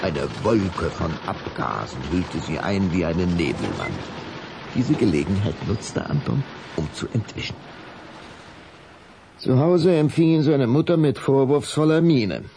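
A man narrating a children's radio play in a scripted storybook style, with a gravelly voice. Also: on the low side, very animated, unhurried, background noise.

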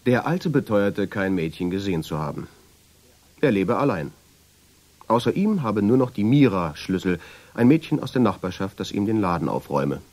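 An actor playing a reflective traveller who tells his story in measured, deliberate radio drama narration, with a husky voice. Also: big pitch swings, a little mellow. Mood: reminiscing. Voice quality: low, husky voice. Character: reflective male traveller, drawn and obsessive, telling his story. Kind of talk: literary radio drama narration in first person.

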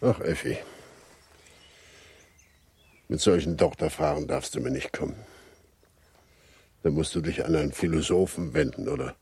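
An actor playing a kindly, thoughtful old village pastor in a literary radio play, speaking in a measured, reflective way. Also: male voice, rumbling low, darkish tone, no echo, exaggerated voice. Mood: gently musing. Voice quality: husky.

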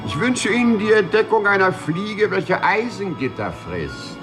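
An actor in a 1950s German radio play, playing a polite, eccentric prisoner who speaks formally and precisely, serenely proud and rapt. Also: male voice, sits high, sing-song, a little slow, music in the background. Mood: rapt, triumphant. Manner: courteous, formal, precise, rapturous. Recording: 1950s radio drama recording.

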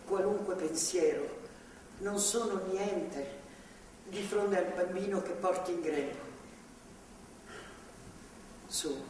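A grandmotherly, righteous older woman in a theatrical drama. She speaks earnestly, admonishing like a stern believer. The sound is echoey and distant, with clear noise around it. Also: fairly low pitch, darkish tone. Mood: earnest, reproving. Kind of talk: theatrical stage and radio drama acting.

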